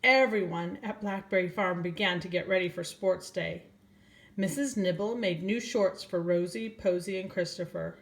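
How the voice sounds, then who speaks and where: A woman's voice: fairly low pitch, fairly even intonation, vocal fry. An older woman, a grandmother, reading a children's storybook aloud and speaking directly to young children. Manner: slow, addressing young children, asking them questions.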